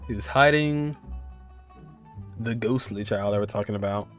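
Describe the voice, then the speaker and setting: A man's voice: on the low side, unhurried, fairly bright tone. A man giving casual video game playthrough commentary, reacting in muttered asides and echoing what he hears. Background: game music.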